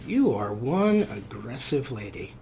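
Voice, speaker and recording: A man's voice: mid-range voice, big pitch swings, gravelly, darkish tone, slight background noise. A man hosting a let's play, giving casual, wry gameplay commentary as if half talking to himself. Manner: wry, unhurried, talks to himself.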